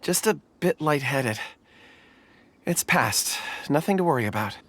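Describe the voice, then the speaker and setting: A man's voice: medium pitch, animated, measured pace, a bit bright, slight background noise. An actor playing a mild, polite young man in a video game, hesitant, sighing and trailing off. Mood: hesitant, faint.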